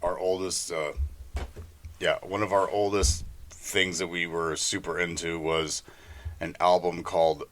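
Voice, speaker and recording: A man's voice: low voice, little pitch movement, measured pace, a bit bright. A man co-hosting a podcast, telling anecdotes in a casual, conversational way. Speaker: podcast co-host.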